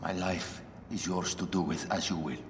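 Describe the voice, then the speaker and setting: A man's voice: sits low, steady tone, moderate pace. An actor playing a grave, devoted warrior serving a queen in a video game cutscene. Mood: solemn. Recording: echoey hall, clear background noise.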